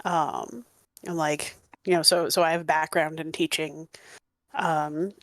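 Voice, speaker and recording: A woman with a fairly low voice chats animatedly as a history educator. She uses lots of filler words and restarts. The sound is close and dry. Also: little pitch movement, a little slow, no room sound. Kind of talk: casual panel discussion.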